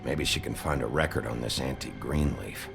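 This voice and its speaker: An actor playing a gruff, weary sheriff detective in a noir video game, with a gravelly voice and a terse, blunt manner. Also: male voice, moderate pace, music in the background. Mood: terse, matter-of-fact. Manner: terse, blunt, low-key. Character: gruff, weary adult male fairy-tale sheriff detective.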